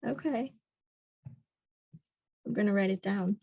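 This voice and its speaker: A woman's voice: mid-range voice, takes it slowly, vocal fry, slightly rough, dry recording, muffled recording. A twenty-year-old woman talking casually as a trainee, tentative and agreeable in manner. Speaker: new real estate trainee being coached. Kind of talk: casual, conversational replies.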